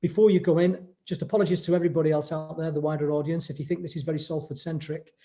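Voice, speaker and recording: A man's voice: a little high, fairly even intonation, rapid-fire, heavy background noise. A man introducing a speaker at a webinar over a video call, hesitant and repeating words. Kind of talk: event introduction.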